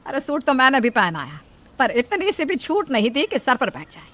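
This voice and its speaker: A woman's voice: high-pitched, very animated, moderate pace. A woman playing a strict, conservative older mother-in-law in a cartoon story, disapproving and scolding. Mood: stern. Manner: sharp, scolding. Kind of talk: animated cartoon story acting.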